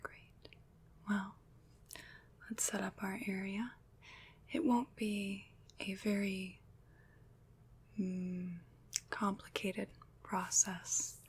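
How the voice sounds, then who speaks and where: A woman playing a gentle, soothing witch in an ASMR roleplay, whispering softly and breathily with tender, formal, old-fashioned phrasing. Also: mid-range voice, flat delivery, very slow, long pauses, breathy edge, heavily acted. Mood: reassuring, tender. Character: gentle, soothing female witch, caring and slightly mysterious.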